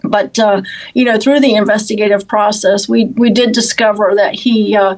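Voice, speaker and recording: Halting, measured woman speaking as police official. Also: medium pitch, steady tone, darkish tone, rough recording. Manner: halting, measured, repeats words. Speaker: law enforcement official. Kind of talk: interview answers about an investigation.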